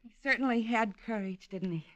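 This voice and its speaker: A woman's voice: a little high, brisk, a bit bright, dull audio, lightly acted. An actress playing a contrite married woman in trouble in a 1940s radio crime drama, earnest and a little hesitant. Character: contrite married woman caught in a murder mess. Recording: old-time radio drama recording.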